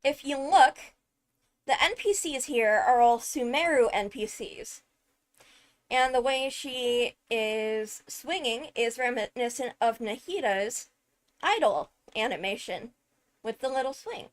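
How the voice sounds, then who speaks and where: A woman giving casual, analytical commentary on a video, animated and emphatic on key words. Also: fairly high pitch, slow, a little hiss or hum. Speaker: video host, game lore commentator. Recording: background music behind the voice. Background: music.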